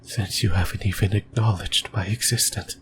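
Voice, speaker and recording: Male actor as possessive, lonely demon for whispered ASMR drama; low, halting, breathy, musing, with noticeable background noise. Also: steady tone, close to an everyday voice. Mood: eerie, musing. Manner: slow, halting, rambling, intimate. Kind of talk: creepy whispered ASMR roleplay monologue. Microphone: close microphone, whispering into the ear.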